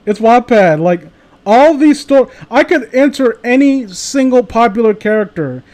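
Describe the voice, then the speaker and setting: A man's voice: extremely high pitch, expressive, measured pace, a little mellow. A man hosting a livestream, chatting casually with friends and viewers in a sardonic, profane way. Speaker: livestream host.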